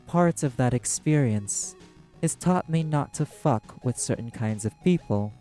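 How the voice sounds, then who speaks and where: A man playing a proud, ancient arch-demon who runs a casino in an audio roleplay, with a haughty, measured, theatrical drawl. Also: mid-range voice, dark tone, lightly acted. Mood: menacing, curious. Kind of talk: scripted audio roleplay monologue.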